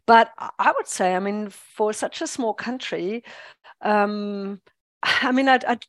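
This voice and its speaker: A woman's voice: mid-range voice, slow pace, no room sound. Middle-aged German-accented woman, author guest, reflective and self-deprecating; dead-dry close mic. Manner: thoughtful, self-deprecating, many restarts. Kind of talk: podcast interview.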